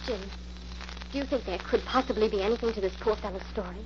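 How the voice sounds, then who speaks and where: A woman's voice: mid-range voice, quick pace, lightly acted. An actress playing a brave but anxious young woman in a 1940s radio thriller, with earnest melodramatic delivery. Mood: worried, earnest. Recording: muffled, noisy old-time radio recording.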